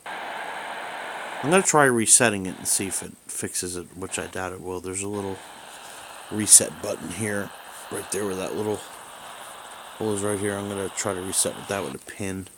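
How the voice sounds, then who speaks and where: Man, deep voice, rambling hobbyist demo style, hesitant delivery, light hiss and room noise behind. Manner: halting, rambling, trailing off. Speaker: video creator reviewing radio gear. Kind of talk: casual unscripted gadget talk. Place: small room indoors at home. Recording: hissy home video with background noise.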